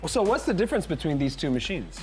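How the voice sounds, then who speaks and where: A man co-hosting a casual chat in a tattoo studio, playful and quick to laugh as he tells stories. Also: a little high, very animated, a little mellow, faint music behind. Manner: playful, laughing, drawn-out storytelling. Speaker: co-host.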